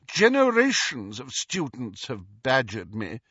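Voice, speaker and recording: A man's voice: mid-range voice, big pitch swings, unhurried, fairly bright tone, breathy edge, dry recording, highs cut off, pitched above the performer's own voice, exaggerated voice. A man voicing a proud, cold young woman ghost in an audiobook, with a stiff, disdainful and guarded manner. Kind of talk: audiobook character voice.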